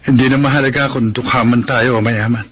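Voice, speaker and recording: An actor playing a poor, proud, heartbroken young farmer and jealous lover in a Filipino radio drama, earnest and melodramatic. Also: male voice, mid-range voice, steady tone, brisk, a bit bright, slightly rough. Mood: earnest, resigned. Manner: melodramatic, earnest, self-pitying. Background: background music.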